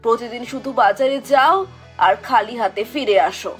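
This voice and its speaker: A woman's voice: a little high, normal speed, faint music behind, close to an everyday voice. A woman voicing a scolding, exasperated village wife in a fairy-tale cartoon. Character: nagging, fed-up village wife.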